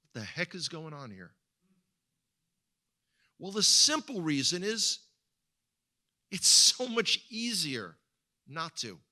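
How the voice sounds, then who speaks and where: A man's voice: fairly high pitch, pushed and bright. A man with an Israeli accent giving a conversational talk as a guest speaker at a congregation, often repeating words as he searches for them. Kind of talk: sermon-style talk.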